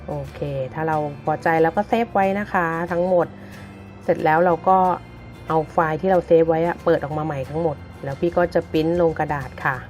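The low-pitched woman you'd hear on a hobby craft channel, walking you through each step while music plays along. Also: fairly even intonation, fairly quick, darkish tone. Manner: informal big-sister address to viewers. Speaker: craft tutorial host. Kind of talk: casual step-by-step tutorial narration. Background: background music.

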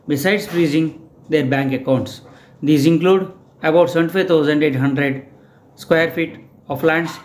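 A man with an Indian English accent, a shorthand instructor reading a news passage aloud in short, measured phrases for dictation practice. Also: fairly high pitch.

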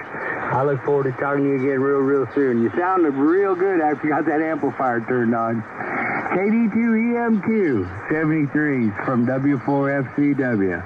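A man running a ham radio net, talking in a folksy, courteous way over shortwave. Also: a little high. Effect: shortwave radio transmission. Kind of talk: amateur radio sign-off exchange. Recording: narrow tinny shortwave radio, loud static.

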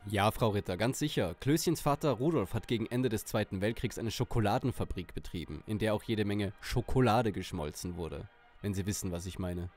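A man's voice: on the low side, fairly quick, a little mellow, soft background music, close to an everyday voice. A man playing an earnest, commanding young detective in a German audio drama parody. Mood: explanatory.

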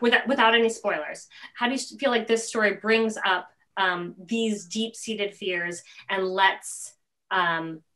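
A middle-aged woman hosting a casual interview on a video call, feeling her way haltingly through questions. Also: a little high, fairly bright tone. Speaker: host, interviewer. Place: indoor room at home.

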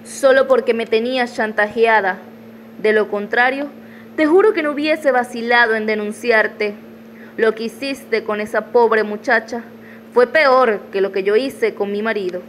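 A woman's voice: fairly high pitch, unhurried, slight background noise, lightly acted. A woman playing a defiant, scheming widow in a radio soap opera, taunting and dramatic. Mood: bitter, accusing.

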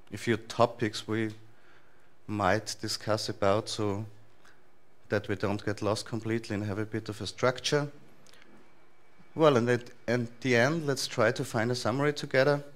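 A man in his thirties with an Austrian accent, giving a relaxed, loosely structured talk to a conference audience in a large lecture hall. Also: fairly low pitch, unhurried. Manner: relaxed, thinking aloud with frequent fillers and restarts. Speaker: conference speaker.

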